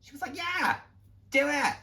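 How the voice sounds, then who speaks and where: A man's voice: very high voice, lively intonation, normal speed, pushed and bright. Male vlogger, late 20s; heartfelt, encouraging, informal delivery with a reflective streak. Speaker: vlog host. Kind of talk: casual heartfelt talk to viewers.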